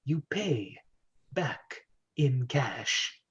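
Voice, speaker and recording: Man doing a slow, hushed gangster boss. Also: mid-range voice, animated, breathy edge, lo-fi sound, full character voice. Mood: quietly menacing. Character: menacing slow New York gangster boss, Big Eddie.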